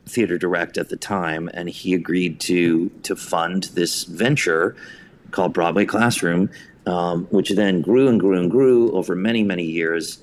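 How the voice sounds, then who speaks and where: A man's voice: deep voice, a little hiss or hum. A man being interviewed, telling his story in long, earnest, reflective and conversational answers. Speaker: interview guest, theater director and writer.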